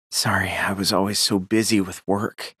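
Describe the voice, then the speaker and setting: A man's voice: sits low, fairly bright tone, breathy edge, dry recording, studio quality, full character voice. An actor playing an earnest man from the slums in a video game. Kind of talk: video game character acting.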